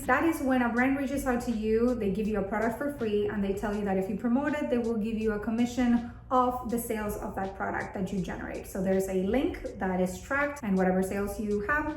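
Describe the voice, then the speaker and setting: High-voiced woman vlogging in an echoey room. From Argentina. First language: Spanish. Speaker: content creator and video host. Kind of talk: casual chatty vlog. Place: bathroom at home, indoors.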